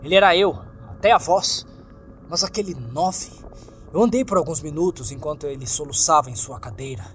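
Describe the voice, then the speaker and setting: A man's voice: on the high side, moderate pace, fairly bright tone. A man with a Brazilian accent reading a scripted horror story as narrator, in a dramatic, suspenseful, theatrical way. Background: music.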